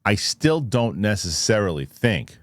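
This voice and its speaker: Low-voiced man, skeptical halting commentator. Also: big pitch swings, dry recording, clean studio sound. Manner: halting, thinking aloud, rhetorical questions. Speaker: show host and commentator. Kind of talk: casual off-the-cuff news commentary to viewers.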